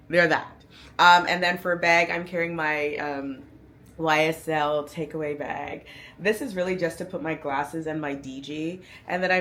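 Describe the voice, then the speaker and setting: A woman's voice: deep voice, fairly bright tone. A woman in her thirties, a fashion vlogger, talking casually and upbeat to camera in a chatty, playful way. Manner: chatty, upbeat, playful slang, hypes herself up. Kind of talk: casual outfit breakdown to camera.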